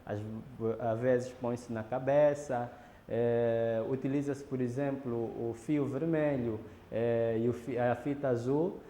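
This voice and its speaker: Angolan man, documentary interviewee, mellow, reverberant room. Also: mid-range voice, fairly even intonation. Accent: Angolan Portuguese. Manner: measured, pauses with fillers and restarts. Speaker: interviewee explaining a ritual. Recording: reverberant room, faint background noise.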